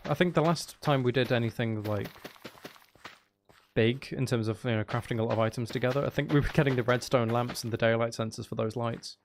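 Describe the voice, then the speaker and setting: A man's voice: mid-range voice, fairly quick, slight background noise. A man streaming a video game, chatting casually in a rambling, hesitant way as he thinks aloud. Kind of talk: casual live-stream chat while playing.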